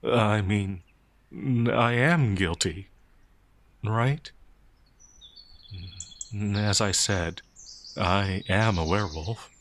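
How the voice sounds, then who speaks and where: Man playing a timid, slow-talking gentle werewolf. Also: lowish voice. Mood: nervous, confused. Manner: hesitant, stammering, meek and naively earnest. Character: timid, gentle, innocent male werewolf farmhand, older than he appears. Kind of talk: audio roleplay character acting.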